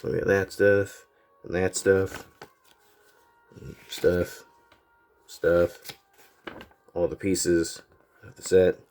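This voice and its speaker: Low-voiced man with a stuffy nose. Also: drawn-out words, long pauses. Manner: rambling, relaxed, lots of fillers. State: congested, slightly nasal from a cold. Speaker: toy set reviewer. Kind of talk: casual product review.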